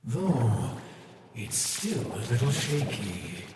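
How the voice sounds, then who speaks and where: A gloating villain drawls out taunts at a crawl, played by a man. The pitch swings widely as he mocks. It is heard from far off in an echoey hall with a faint hiss behind. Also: very animated. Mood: taunting. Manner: slow, taunting and mocking. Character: sneering menacing villain, gloating and cruel. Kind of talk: fan comic dub acting.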